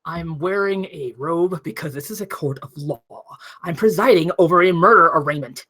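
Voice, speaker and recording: A woman's voice: fairly low pitch, moderate pace, fairly bright tone, breathy edge. A woman voicing a stern, indignant judge losing all patience in a comedy script table read. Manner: indignant, scolding.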